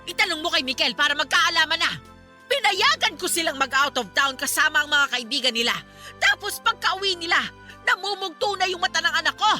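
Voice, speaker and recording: An indignant, protective middle-aged Filipino mother in a radio drama. Her voice is very high and very sharp, with forceful, accusing delivery over soft music. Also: a little fast, full character voice. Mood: accusing, upset.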